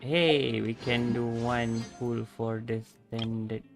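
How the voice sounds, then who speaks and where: A man's voice: medium pitch, steady tone, takes it slowly, creaky. A male streamer giving casual, laid-back commentary while he plays, laughing and swearing freely. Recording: game music behind.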